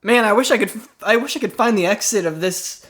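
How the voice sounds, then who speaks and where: A podcast host doing improvised comedy banter, halting and self-correcting while making it up on the spot. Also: mid-range voice, fairly bright tone.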